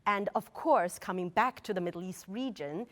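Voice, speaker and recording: A woman's voice: mid-range voice, normal speed, a bit bright. A woman in her thirties from China, Mandarin native, with a Chinese accent, answering interview questions as a research fellow in a thoughtful way with frequent fillers and restarts. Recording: long reverb of a hall.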